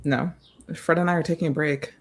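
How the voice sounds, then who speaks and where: A man reading the part of a wry, guarded woman with a seductive edge at a film script table read, dry and clipped, with a creaky voice. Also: on the high side, steady tone, brisk. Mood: guarded, teasing.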